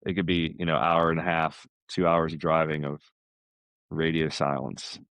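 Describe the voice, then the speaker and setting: Casting: American man, middle-aged, low register, loose chatty storyteller, dry sound. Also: little pitch movement, moderate pace, fairly bright tone, clear, resonant tone, no echo. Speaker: podcast guest, salesman. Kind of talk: casual chat.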